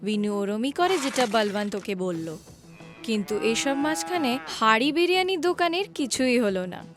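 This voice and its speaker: A woman narrates a cartoon tale in a scripted storybook style. Her voice is on the high side. Music plays behind her. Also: expressive, normal speed.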